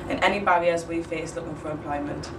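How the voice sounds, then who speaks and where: Young woman, lowish voice, echoey room. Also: steady tone, fast talker. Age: 20s. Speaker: interviewee. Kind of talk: casual interview answers. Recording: echoey room, distant mic, clear noise.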